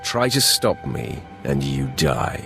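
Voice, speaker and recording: A man's voice: extremely low pitch, very animated, slow pace, creaky, over music, exaggerated voice. A male actor playing a ruthless, sardonic Sith warrior in a video game, with a cold and menacing manner. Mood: cold menace. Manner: cold, menacing, dryly arrogant. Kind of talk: video game dramatic acting.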